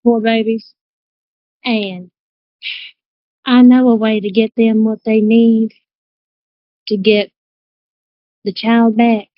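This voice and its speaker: A woman's voice: a little high, little pitch movement, takes it slowly, long pauses, no room sound. A woman playing a shrewd, protective female dealmaker in a tabletop game, with a drawling, folksy manner. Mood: firm, guarded. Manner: drawling, folksy, calls people baby. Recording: dry, slightly dull voice-chat audio.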